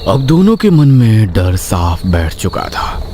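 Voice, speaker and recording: A man narrating a scripted horror story suspensefully, with dramatic pauses. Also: lowish voice, sing-song, noisy background. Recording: constant night cricket and insect sounds behind.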